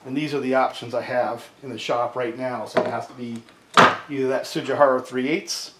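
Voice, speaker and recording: A man's voice: mid-range voice, fairly even intonation, moderate pace. A middle-aged man casually explaining repair steps at his workbench, often asking "right?" as he talks, recorded by a camera mic in a cluttered home workshop. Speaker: chainsaw repair video host. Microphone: camera mic on a tripod, speaker at the workbench. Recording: echoey workshop with background noise.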